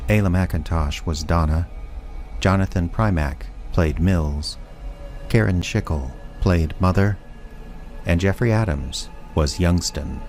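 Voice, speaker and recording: Male credits announcer. Very low voice, scripted radio-theater delivery, faint music underneath. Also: a little mellow.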